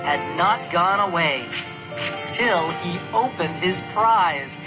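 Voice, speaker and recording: A storyteller narrating a rhyming children's story in an expressive, theatrical, sing-song way. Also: medium pitch, slow, rough recording. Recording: narration over loud music. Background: music.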